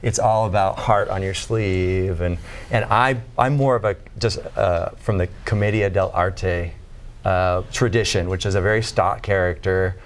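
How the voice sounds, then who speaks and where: A man's voice: low voice, measured pace. A man from Los Angeles, an opera singer, explaining his role articulately in a studio interview. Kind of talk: interview answers.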